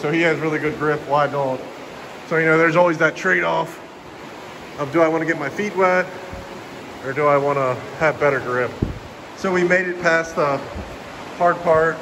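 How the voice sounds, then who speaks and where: Young male hiking vlogger, slightly high voice, loose rambling delivery, handheld in a cave, clear background hiss. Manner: relaxed, rambling, filler-heavy commentary. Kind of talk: casual vlog narration. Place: inside a cave. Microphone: handheld camera held by the speaker.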